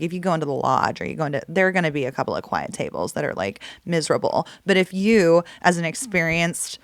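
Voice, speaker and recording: Texan woman, young, podcast co-host, lively and laughing, dry close mic. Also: lowish voice, dry recording. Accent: Texas. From Texas. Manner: lively, laughing, swears casually. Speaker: podcast co-host, poker player. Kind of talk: casual chat.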